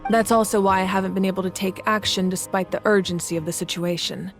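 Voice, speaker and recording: A woman's voice: medium pitch, steady tone, natural voice. A woman playing a composed, noble fire ruler. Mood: grave, resolute. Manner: measured, formal, earnest. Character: composed, noble adult female fire ruler, wise and reassuring. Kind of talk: scripted video game voice acting. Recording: music behind the voice.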